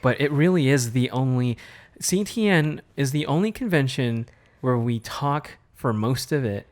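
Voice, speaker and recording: A man's voice: mid-range voice, lively intonation, unhurried. Thoughtful man musing in casual chat. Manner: thoughtful, lots of fillers and restarts. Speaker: podcast co-host, artist.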